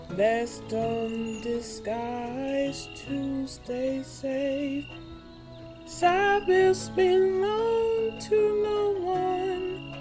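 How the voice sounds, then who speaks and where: A woman singing a folk carol in the manner of a lullaby. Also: high voice. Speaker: singer. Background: music.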